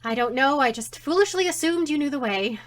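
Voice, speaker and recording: A woman's voice: high-pitched, animated, a little fast. A woman playing a teasing, playfully sardonic wife and mother in an audio drama comedy. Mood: wry. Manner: wry, theatrical, teasing.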